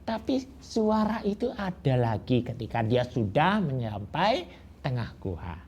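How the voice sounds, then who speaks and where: A man telling a folk tale to children, slow and drawn-out, with rhetorical questions. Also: medium pitch, big pitch swings, a little mellow. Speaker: storyteller. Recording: dull lo-fi recording with faint hiss.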